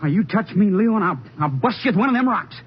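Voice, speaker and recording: A young, anxious army deserter in a vintage radio play. His voice is high and swings widely, plaintive and stubborn at once. The recording is dull with a faint hiss. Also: animated, fast talker. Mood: defiant, upset. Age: young adult. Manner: hesitant, plaintive, colloquial. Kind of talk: old-time radio drama acting.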